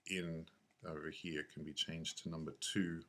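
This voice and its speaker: Low, flat-voiced man thinking aloud. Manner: halting, thinking aloud, self-correcting. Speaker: software tutorial instructor. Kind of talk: unscripted screencast tutorial narration.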